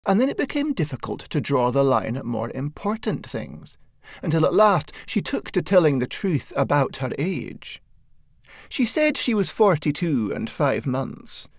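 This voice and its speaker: A man reads a satirical short story as an audiobook narrator. His voice sits a little high and moves expressively up and down. The delivery is measured and wry, recorded dry and close. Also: big pitch swings, no echo.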